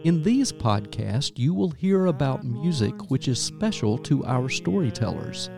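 A man's voice: medium pitch, very animated, measured pace, gravelly. A man reading a scripted podcast introduction as its announcer. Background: guitar music.

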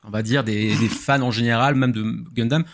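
A man explaining things casually as a guest, halting and repeating words as he goes. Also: mid-range voice, fairly even intonation.

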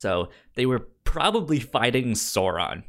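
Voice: mid-range voice, very animated, measured pace. A podcast co-host chatting casually about comics, with a stammer that often repeats sounds and words.

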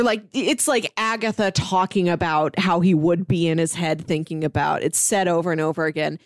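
A woman's voice: fairly low pitch, dry recording, clean studio sound. A woman co-hosting a podcast in casual discussion, talking in an engaged, playful, conversational way. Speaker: podcast co-host. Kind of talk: casual comic book discussion.

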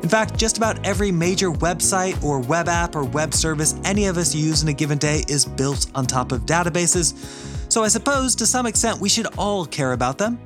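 A man hosting a podcast, giving a scripted introduction and ad read in a polished, measured way. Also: a little high, animated, over music. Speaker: podcast host, university teacher.

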